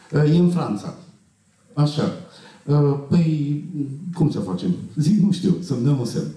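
A middle-aged man speaks from a stage with a dark, mellow voice. He tells stories haltingly and clears his throat. The sound is very echoey and distant. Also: low voice. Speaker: film actor at festival Q&A. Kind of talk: anecdotal speech to an audience. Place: festival auditorium stage. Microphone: handheld mic, heard from far camera. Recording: echoey hall, distant camera pickup.

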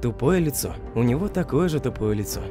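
A man's voice: medium pitch, expressive, a little fast, music in the background, lightly acted. A man voicing a droll commentator in a comic dub, making dry, repetitive remarks. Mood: dry, mocking.

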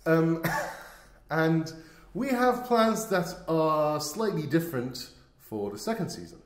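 A man's voice: a little high, lively intonation, slow pace. Hesitant male podcast host, roomy echo. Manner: hesitant, searching for words, wry. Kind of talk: casual, unscripted show introduction. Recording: roomy, echoing sound.

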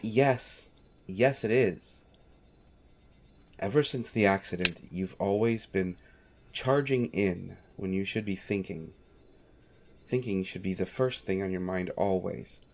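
A man's voice: sits low, slow pace, long pauses, a little mellow, heavily acted. A man playing a devoted, patient manservant in an audio roleplay, polite and dryly wry, with hesitant phrasing. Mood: fond exasperation. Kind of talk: scripted audio roleplay, speaking directly to listener.

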